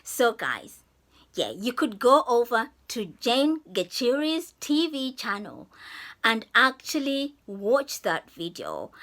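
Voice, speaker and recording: A woman's voice: fairly high pitch, a bit bright. A woman from Zambia chatting effusively to camera in a vlog, laughing often. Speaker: vlogger. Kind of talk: casual vlog talk to camera.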